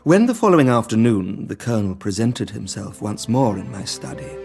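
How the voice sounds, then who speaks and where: A man playing an earnest, grave doctor in an audio drama, speaking and narrating in an articulate, measured way. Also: lowish voice, very animated, gravelly, music in the background. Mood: somber narration.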